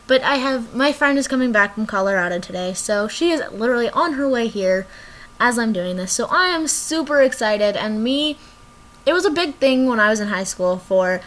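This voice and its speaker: Young woman rambling into her webcam in her room, kinda high voice, bright and sharp. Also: low-quality recording. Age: young adult. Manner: rambling, drifts off topic. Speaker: vlogger. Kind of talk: casual chat to camera. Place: her cold bedroom.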